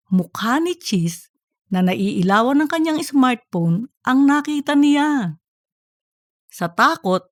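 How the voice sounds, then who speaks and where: A woman's voice: mid-range voice, dry recording, clean studio sound. A woman narrating a scripted comic audiobook story.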